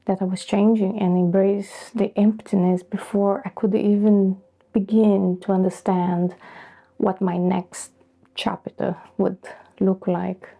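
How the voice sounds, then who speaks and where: Gravelly dark-voiced Brazilian-born woman. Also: mid-range voice. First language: Portuguese. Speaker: vlogger. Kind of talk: reflective personal talk to camera.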